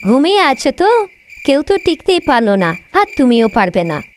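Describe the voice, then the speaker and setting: A woman's voice: high voice, very animated, moderate pace, slight background noise. A woman voicing a young, fretful princess in a fairy-tale cartoon, speaking in a theatrical, plaintive way. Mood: scornful.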